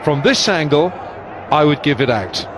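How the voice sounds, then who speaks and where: A man giving live cricket commentary, weighing replays in a measured, slightly hesitant way. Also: on the high side, expressive, noisy background. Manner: measured, hesitant analysis with fillers. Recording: broadcast commentary over loud crowd.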